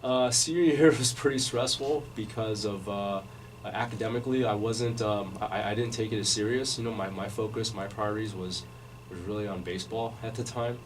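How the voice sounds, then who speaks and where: A man in his forties telling his life story as a radio interview guest, measured and full of restarts. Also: fairly low pitch. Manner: measured, many restarts and fillers. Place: radio studio. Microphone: studio microphone, recorded from afar. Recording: distant mic with background noise.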